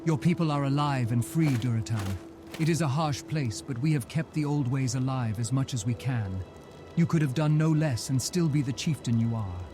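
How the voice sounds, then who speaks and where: A steadfast, supportive orc voiced by a man in a fantasy game. The voice is medium in pitch, earnest and reassuring. Music runs clearly underneath. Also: normal speed, close to an everyday voice. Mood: reassuring, proud. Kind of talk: fantasy game cutscene acting. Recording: music behind.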